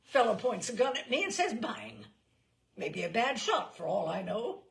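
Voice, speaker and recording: A woman's voice: fairly high pitch, very animated, moderate pace, vocal fry, a bit bright, pitched above the performer's own voice, full character voice. A woman voicing a blustering, outraged old English general in a storybook reading, clipped and indignant. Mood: indignant snorting.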